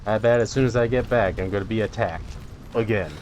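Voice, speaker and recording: A man's voice: lowish voice, a little fast, darkish tone. A man doing casual let's play commentary, joking in an offhand, wry way. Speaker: gamer, let's play host. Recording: faint background noise, game ambience.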